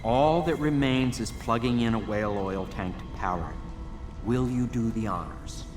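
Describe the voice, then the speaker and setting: Proud eccentric game scientist male actor, formal, measured, grandiose, music clearly behind. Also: medium pitch, close to an everyday voice. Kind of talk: video game voice acting. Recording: roomy, distant, music behind.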